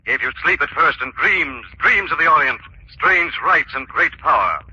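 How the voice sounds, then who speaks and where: Spooky stern vigilante, harsh raspy and piercing, declaims like a 40s radio thriller. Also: male voice, a little high, lively intonation, moderate pace, pushed and bright, no echo, exaggerated voice. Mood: stern, accusing. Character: ominous invisible crime-fighting avenger, sternly taunting. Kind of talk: 1940s radio acting. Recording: dull 1940s radio recording.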